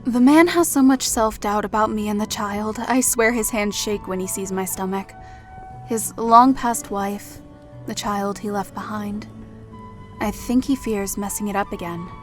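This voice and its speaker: A woman playing a wry, affectionate elf in an audio drama, speaking in a measured, dryly teasing way. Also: mid-range voice, soft background music, close to an everyday voice. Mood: wry concern. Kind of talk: audio drama character acting.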